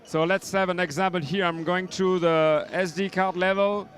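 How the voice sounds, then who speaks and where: A man giving an informal product demonstration as a synth maker, explaining in a halting way. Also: sits high, fairly even intonation, fairly bright tone, faint music behind. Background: electronic synth sounds and beats.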